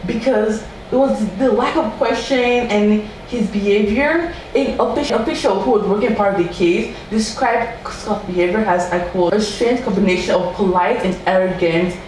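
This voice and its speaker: A woman's voice: mid-range voice, moderate pace, few pauses. Chatty woman in an echoey room. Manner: chatty, animated, quoting people in raised voice. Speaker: podcast host. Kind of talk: casual true-crime storytelling. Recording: echoey room from far off with faint noise.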